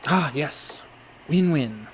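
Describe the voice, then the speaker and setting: A man's voice: fairly high pitch, expressive, extremely slow, a little hiss or hum. An actor in a comic radio play, playing a sardonic, fretful con man posing as a pirate merchant. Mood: dry worry. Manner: dry, exasperated, worrying.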